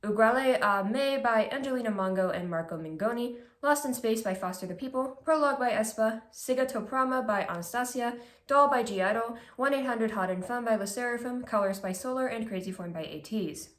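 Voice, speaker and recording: A woman's voice: a little high, fairly quick. Congested woman reading her music picks. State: congested, stuffy nose. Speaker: music ranking video host. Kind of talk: scripted list commentary read aloud. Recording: echoey room.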